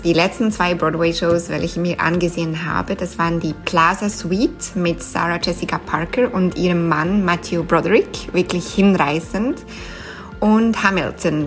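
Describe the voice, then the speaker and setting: A woman with a Swiss German accent answers interview questions in a fairly low voice. She thinks aloud, pausing on fillers and restarting her sentences. Soft music plays faintly behind her. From Switzerland. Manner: thoughtful, with frequent fillers and restarts. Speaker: interview guest.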